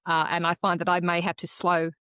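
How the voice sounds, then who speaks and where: A woman whose was Croatian, co-hosting a podcast and telling a personal story in an earnest, reflective way with halting restarts. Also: on the low side, completely flat delivery, sharp tone, clean tone, dry recording. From Australia. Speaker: podcast co-host. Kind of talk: casual conversational podcast chat.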